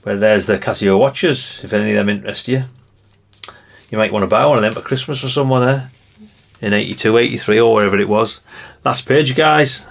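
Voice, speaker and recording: Male, middle-aged, British accent, slightly deep voice. Informal hobbyist vlogger, chatty and unscripted, meandering delivery. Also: fairly quick, gravelly. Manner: rambling, chatty, addresses viewers informally. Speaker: vlogger showing vintage CB radios and catalogues. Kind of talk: casual unscripted show-and-tell chat.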